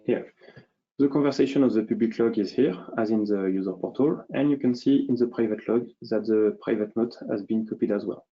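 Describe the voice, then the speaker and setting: A man presenting a software demonstration in an unscripted, explanatory way, hesitantly thinking aloud as he goes. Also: fairly low pitch, fairly quick, highs cut off.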